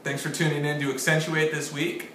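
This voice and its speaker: A man hosting a mock-serious comedy accent lesson in a deadpan, mock-authoritative manner. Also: fairly high pitch, a little fast. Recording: very echoey room with faint hiss.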